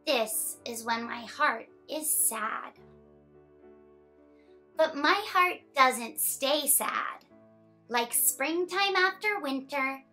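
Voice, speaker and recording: A young woman reads aloud for children as a story time host. Her voice sits high and bright, and she takes her time with each phrase. The delivery is animated and expressive, suited to very young listeners. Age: young adult. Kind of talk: picture book read-aloud for children.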